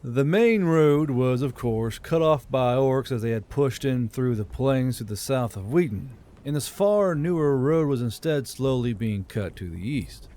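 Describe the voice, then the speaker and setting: A man's voice: medium pitch, expressive, unhurried, few pauses, warm tone. A man giving scripted narration of a fantasy audiobook.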